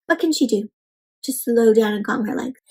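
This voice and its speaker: A woman hosting an interactive picture-book read-aloud for young children, expressive and speaking directly to her listeners with questions. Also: on the high side, moderate pace, very noisy.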